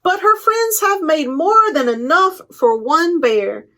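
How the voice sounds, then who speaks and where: A woman hosts a children's storytime in a high-pitched voice. She reads at a slow, deliberate pace, leaning playfully on key words for dramatic effect. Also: animated, pushed and bright. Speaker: children's librarian, storytime host. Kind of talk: expressive picture-book read-aloud for children.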